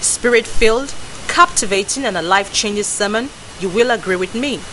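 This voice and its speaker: A Nigerian woman reads a television report in a steady, formal tone at a middle pitch. A clear hiss sits under her voice-over. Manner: measured, formal news reading. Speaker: news reporter. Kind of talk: scripted narration.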